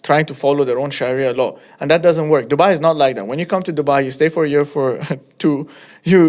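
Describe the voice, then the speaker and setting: A man hosting a podcast, talking in a conversational style as he discusses and asks questions. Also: fairly high pitch. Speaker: podcast host.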